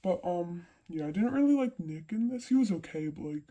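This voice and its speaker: A mellow, soft-edged voice run through a voice-changing effect, in a mid register. The speaker is a book vlogger giving casual reviews, rambling along informally with plenty of slang. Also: medium pitch, animated, fairly quick. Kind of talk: casual monthly reading wrap-up chat.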